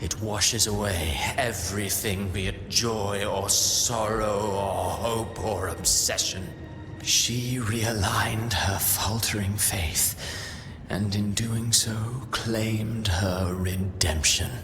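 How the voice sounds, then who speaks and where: An actor playing a brooding, ominous villain in a video game, reciting poetic monologues in a grandiose, deliberate way. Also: male voice, lowish voice, steady tone, music in the background. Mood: solemn, mocking.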